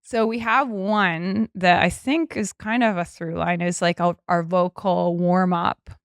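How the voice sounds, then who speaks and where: A woman's voice: on the low side, fairly even intonation, unhurried, clean tone, no echo. Chatty comedian woman with a dry close mic. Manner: chatty, laughs often, many fillers. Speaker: podcast host, stand-up comedian and teacher. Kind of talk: casual podcast chat.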